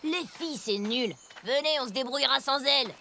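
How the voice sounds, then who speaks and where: A woman's voice: high voice, brisk, slight background noise. A woman voicing a cocky, bossy boy gang leader in a cartoon dub, boastful and sneering as he teases. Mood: scornful. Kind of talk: animated cartoon dubbing. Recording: loud music under the voice.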